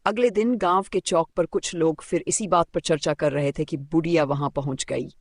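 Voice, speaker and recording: A man with a fairly high voice and a marked gravelly creak narrates a folk tale. His delivery is deliberate and builds suspense, as scripted dramatic storytelling. The sound is dry and close. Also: fairly even intonation, no echo.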